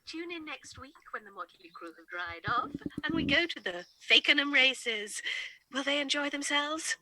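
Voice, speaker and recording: A woman's voice: sits high, expressive, moderate pace, sharp tone, exaggerated voice, low-quality recording. A woman playing a jaunty radio announcer narrating a comedy soap.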